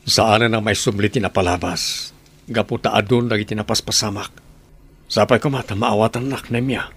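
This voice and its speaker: A man's voice: lowish voice, steady tone, normal speed, creaky, a bit bright, husky, exaggerated voice. An actor with an Ilocano accent playing a heartbroken, devoted father, pleading melodramatically in a radio drama. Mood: bitter.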